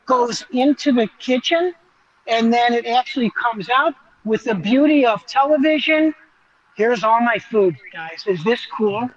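A man's voice: very high voice, animated, unhurried, muffled recording. A man giving an enthusiastic, conversational live walk-through as a restaurant reporter, explaining as he goes.

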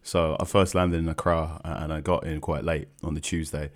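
A man's voice: very deep voice, brisk. A man from England telling a travel story on a podcast as a guest, acting out the people he quotes. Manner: animated, acts out quoted people in his stories. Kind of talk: casual storytelling.

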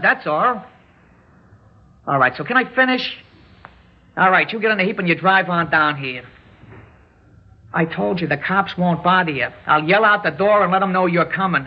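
A jittery young New hoodlum, pitched high and talking tough in street slang. It is old radio crime drama, with a dull lo-fi sound. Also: male voice. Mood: exasperated. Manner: streetwise slangy talk, agitated. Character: jittery, tough-talking young male gunman holding a hostage. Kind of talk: 1960s radio drama acting.

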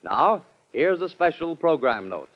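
A man's voice: fairly high pitch, big pitch swings, unhurried. An American man reading scripted credits as a formal 1940s network radio announcer. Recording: muffled old radio broadcast, music behind.

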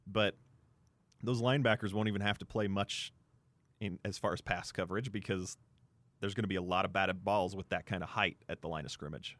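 A man with a low voice co-hosts a sports show. He gives conversational analysis, feeling his way through sentences with many verbal fillers. The sound is close and dry. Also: steady tone, brisk, fairly bright tone, dry recording.

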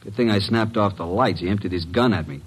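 A tough, breezy legman from an old radio detective show. His voice is low and manly. He talks in brisk, slangy lines with a wisecracking edge. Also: husky. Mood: wry, excited. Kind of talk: old-time radio detective drama acting.

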